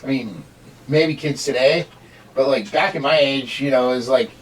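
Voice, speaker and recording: A middle-aged man giving a casual, rambling review in a halting way, as a host. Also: medium pitch. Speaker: amateur reviewer hosting with a child. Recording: distant mic, home recording.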